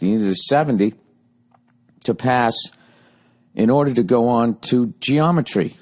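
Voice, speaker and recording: A man in his forties with a Boston accent, ranting sarcastically and profanely in a rambling comic monologue, his voice going a little hoarse. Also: on the low side, darkish tone. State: voice getting hoarse. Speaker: podcast host.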